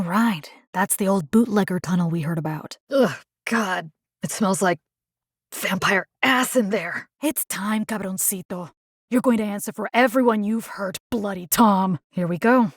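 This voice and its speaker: A woman's voice: medium pitch, animated, moderate pace, no room sound, clean studio sound, lightly acted. An actress voicing a wry, determined, compassionate young woman who hunts monsters in a video game, speaking conversationally with muttered asides. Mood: grim resolve. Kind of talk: video game character voice lines.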